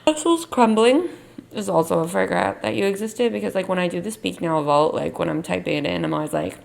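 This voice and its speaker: A woman's voice: fairly low pitch, brisk, gravelly, darkish tone, rough recording. A young woman vlogger chatting casually as she ranks songs, her voice worn from losing it, rambling with lots of "like". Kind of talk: casual tier-ranking chat.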